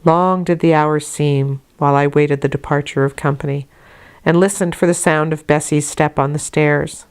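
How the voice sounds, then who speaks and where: A woman reading a classic novel aloud as an audiobook, in a measured, deliberate storytelling manner. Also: low-pitched, steady tone, darkish tone, smooth. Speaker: audiobook reader. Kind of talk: scripted narration of a classic novel, read aloud.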